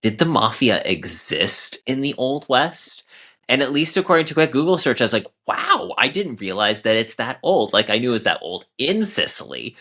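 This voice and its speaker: A man's voice: mid-range voice, big pitch swings, normal speed, bright, forceful tone. A man on a podcast panel chatting casually about fiction, exclamatory and given to quoting his own reactions.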